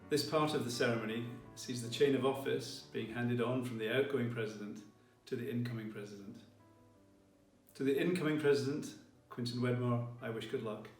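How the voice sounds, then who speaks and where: A man giving a formal ceremonial address as a college leader, with measured, deliberate phrasing. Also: mid-range voice. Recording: roomy, distant mic, faint music behind.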